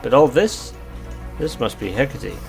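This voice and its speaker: An actor in an audio drama playing an ancient, weary, haughty sea goddess disguised as a gentleman scientist, with pompous, old-fashioned formal diction. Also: medium pitch, lively intonation, measured pace, vocal fry, faint music behind. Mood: bitter, grieving.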